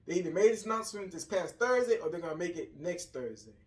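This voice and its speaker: A man's voice: high-pitched, expressive, fast, distant mic. A middle-aged man chatting casually as a co-host, heard in a roomy echo away from the mic. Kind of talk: casual chat.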